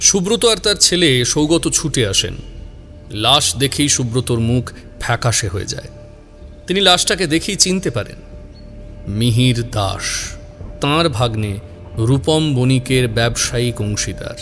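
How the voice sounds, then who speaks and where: A man narrating a scripted horror story in a suspenseful, measured way, drawing out key words. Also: mid-range voice, lively intonation, fairly bright tone, faint music behind.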